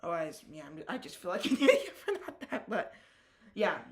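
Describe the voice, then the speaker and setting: A ham radio operator hosting a live stream, chatting casually in halting, self-correcting sentences and calling out a call sign in phonetic letters. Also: mid-range voice, sing-song.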